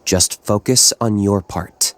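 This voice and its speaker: Young male game hero: low, calm, practical, dry mentor delivery. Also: slow. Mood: reassuring. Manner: composed, dry, instructive. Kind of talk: video game voice acting. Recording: music behind the voice.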